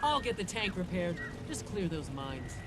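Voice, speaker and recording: Gruff beast-soldier commander, raised pitch. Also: male voice, sing-song, noisy background. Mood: commanding. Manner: commanding, brisk military orders. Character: gruff male beast-soldier commanding a war machine column. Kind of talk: video game voice acting.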